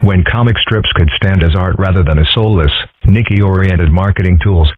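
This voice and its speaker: A man reading several parts in a fan comic dub with a dry, deadpan delivery. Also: sits low, moderate pace, dry recording. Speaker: voice actor doing several characters. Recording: dry phone-like audio.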